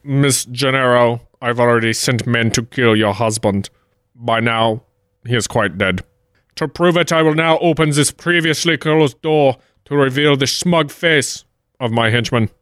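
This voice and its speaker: Man acting a slow, smooth scheming villain. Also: mid-range voice, a bit bright, no room sound, studio quality, close to an everyday voice. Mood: smug menace. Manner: smug, measured, theatrical. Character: suave scheming German villain posing as an American. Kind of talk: 1940s-style radio play acting.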